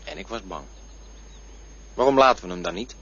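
A husky, brooding dreamer. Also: male voice, low voice, lively intonation, normal speed, sharp tone, exaggerated voice. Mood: musing. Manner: musing, poetic, sometimes prickly. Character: fearful man looking back on a poor childhood. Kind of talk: radio drama acting. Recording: dull lo-fi radio play recording.

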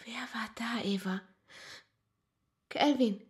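Emotional, higher-voiced young woman. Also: slow. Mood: shocked, hurt. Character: emotional young woman, hurt and fragile friend. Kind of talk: radio drama acting.

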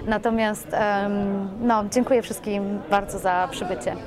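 A woman's voice: medium pitch, steady tone, unhurried. A woman giving a spontaneous interview as a producer, effusive and rambling, often correcting herself mid-sentence. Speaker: radio play producer being interviewed. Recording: busy crowd chatter behind voice. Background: crowd at a public event.

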